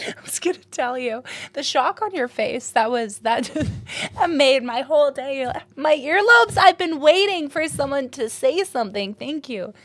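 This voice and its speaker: A woman's voice: high voice, lively intonation. Giggly, teasing woman podcast host. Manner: giggly, teasing, talks in quick playful bursts. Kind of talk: casual joking chat.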